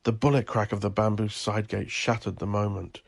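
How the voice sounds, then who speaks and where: A man narrating dark horror fiction in a deliberate, literary storytelling manner. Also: fairly low pitch, little pitch movement, creaky.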